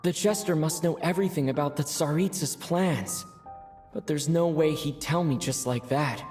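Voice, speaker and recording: An actor playing a young, caring male adventurer in a video game, gentle and earnest in manner. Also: on the high side, moderate pace, natural voice. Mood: pensive. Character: young male traveling hero, caring and resolute. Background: background music.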